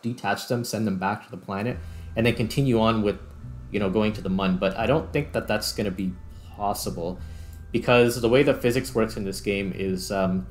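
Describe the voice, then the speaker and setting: A man giving casual, informal let's-play commentary, thinking aloud as he hosts a gaming video. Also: lowish voice. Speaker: host of a gaming series. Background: background music.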